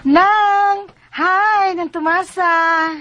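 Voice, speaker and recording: An actress playing a hopeful, upbeat young wife who sells on credit, in Bisaya radio drama style with a Cebuano accent. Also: female voice, very high voice, steady tone, extremely slow, bright, forceful tone, highs cut off, exaggerated voice. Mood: friendly.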